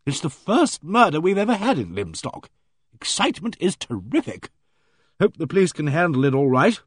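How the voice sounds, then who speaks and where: A man voicing a loud, blunt, self-assured middle-aged woman who booms her opinions. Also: big pitch swings, normal speed, breathy edge, no echo. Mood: brisk, booming. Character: booming, bossy, complacent middle-aged woman.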